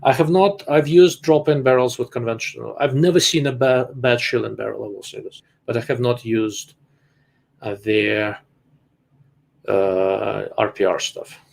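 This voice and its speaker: A man with a gravelly, creaky voice at an ordinary male pitch, hosting a casual live stream. He answers viewer questions bluntly and in his own time, pausing often with "uh" as he thinks aloud. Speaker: livestream host answering viewer chat.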